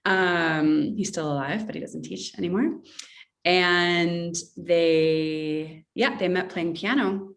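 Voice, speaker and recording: A fairly low-voiced woman talking in long, effusive, rambling turns, often doubling back to restart a thought. She is a guest on a video call. She sits a little away from her microphone, so her voice sounds distant. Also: slow pace. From Russia. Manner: effusive, rambling, circles back mid-sentence. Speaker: author, interview guest. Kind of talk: casual interview chat.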